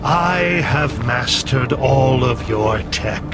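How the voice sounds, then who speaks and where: An actor voicing a coldly calculating artificial intelligence in a video game, measured, solemn and condescending. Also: male voice, medium pitch, lively intonation. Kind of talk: dramatic video game cutscene acting. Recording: loud music under the voice.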